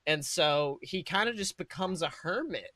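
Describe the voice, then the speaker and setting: A man's voice: a little high, lively intonation, moderate pace, pushed and bright, dry recording. A man co-hosting a podcast, improvising a comedic story in an informal, sweary way with dramatic build-ups. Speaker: podcast co-host.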